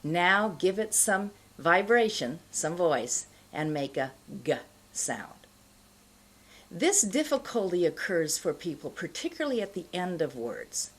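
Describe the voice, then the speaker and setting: A middle-aged woman speaks as a pronunciation teacher giving a lesson. Her voice is low and husky, and she takes her time, enunciating deliberately as she explains. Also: sing-song. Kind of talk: instructional lesson, explaining and modelling sounds. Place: indoor studio, plain backdrop.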